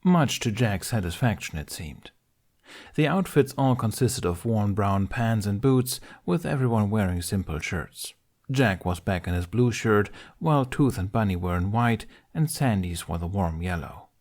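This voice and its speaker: A man's voice: lowish voice, expressive, moderate pace, darkish tone. A man reading scripted fiction aloud as an amateur audiobook narrator.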